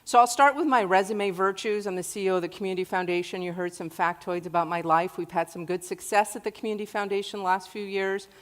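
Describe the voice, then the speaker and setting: A woman's voice: mid-range voice, steady tone, fast talker, hardly any pauses. A woman in her forties, born in Iraq, giving an earnest personal talk to an audience in a large hall. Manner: earnest, warm-hearted storytelling. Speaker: guest speaker. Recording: long hall reverb.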